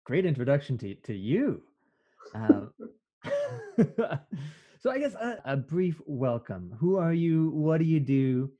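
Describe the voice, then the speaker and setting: A Canadian man in his thirties chats as an interviewer. His voice sits a little high and swings widely in pitch, with a dark, relaxed timbre. He keeps the questions casual. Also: slow, pauses often. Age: 30s. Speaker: host, interviewer. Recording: dull, lo-fi sound.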